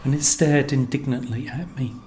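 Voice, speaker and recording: A man reading scripted literary narration as a storyteller, in measured, deliberate phrases with pauses. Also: medium pitch, steady tone, darkish tone, slight background noise. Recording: music under the voice. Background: music.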